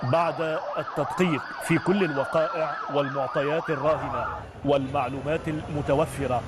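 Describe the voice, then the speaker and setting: Man, formal statement reader, extremely rapid delivery, loud wailing sirens behind. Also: a little high, steady tone, heavy background noise. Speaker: announcer reading an official statement. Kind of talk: formal scripted news statement. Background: emergency vehicle sirens.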